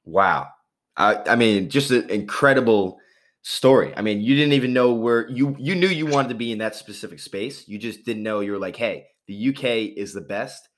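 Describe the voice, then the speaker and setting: Enthusiastic low-voiced sports radio host. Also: male voice, lively intonation, moderate pace. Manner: enthusiastic, effusive, repeats praise. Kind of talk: casual interview hosting.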